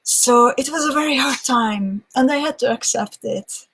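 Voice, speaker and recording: A woman's voice: a little high, moderate pace, a bit bright, husky. A young woman with a Hungarian accent chatting on a live stream, thinking aloud with restarts and reading out viewer comments. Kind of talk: casual live-stream chat.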